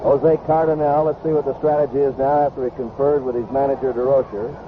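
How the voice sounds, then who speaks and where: A man's voice: mid-range voice, fairly even intonation, fast. Tinny vintage radio baseball announcer, American man. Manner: short clipped phrases following the action. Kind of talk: live radio baseball play-by-play. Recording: noisy, tinny 1970s AM radio broadcast.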